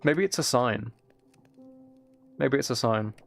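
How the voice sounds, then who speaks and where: Chill guy streamer, flat mellow creaky voice, rambling softly to chat, bit of music behind. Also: slow. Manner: rambling, low-key, chatting to viewers. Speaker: live streamer. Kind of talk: casual gaming livestream chat, soft ASMR-like. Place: desk at home, live stream.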